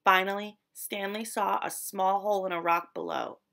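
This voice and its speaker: A woman storyteller narrating a children's picture book expressively, with deliberate, dramatic emphasis on descriptive words. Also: mid-range voice, steady tone, vocal fry, a bit bright. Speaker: read-aloud storyteller.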